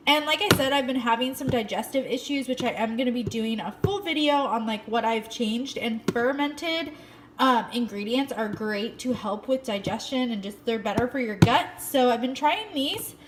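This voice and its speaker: A woman's voice: sits high, a bit bright, a little hiss or hum. A young American woman chatting casually to her viewers as a fitness vlogger, explaining things in an informal, chatty way. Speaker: fitness vlogger in bikini contest prep. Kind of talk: casual vlog chat to viewers.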